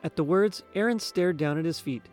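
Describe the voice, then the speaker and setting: A man's voice: a little high, brisk, a little mellow, faint music behind. A man reading a scripted story aloud as a guest narrator. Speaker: guest reader and podcaster.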